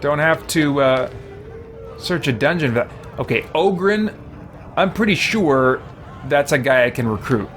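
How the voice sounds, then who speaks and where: A man's voice: on the high side, animated, a little slow, long pauses, fairly bright tone. A man streaming a game, chatting casually and musing aloud as he plays. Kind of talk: casual live gameplay commentary. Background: game music.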